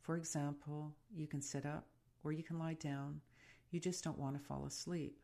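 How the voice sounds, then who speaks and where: A woman guiding a meditation in a soothing, unhurried and gently instructive way. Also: deep voice, little pitch movement, vocal fry. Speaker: meditation guide and podcast host. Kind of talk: guided meditation narration.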